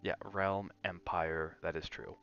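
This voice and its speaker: A man's voice: deep voice, level tone, measured pace, clear, resonant tone, lo-fi sound, faint music behind. A man chatting casually on an ASMR gaming livestream, rambling and drifting between chat and game reactions. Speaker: game streamer.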